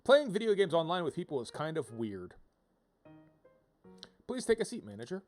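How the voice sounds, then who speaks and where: Man, fairly high voice, casual game streamer, rambling and wry, reads text aloud, faint background music. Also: very animated, normal speed. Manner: rambling, wry, playful asides. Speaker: game livestreamer.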